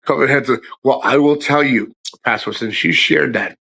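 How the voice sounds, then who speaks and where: Gravelly congested man chatting on stream. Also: mid-range voice, moderate pace, sharp tone. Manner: rambling, profane, restarts often, addresses chat by name. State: congested, voice low from a cold. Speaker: livestream host. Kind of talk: casual live-stream chat to viewers. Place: his house.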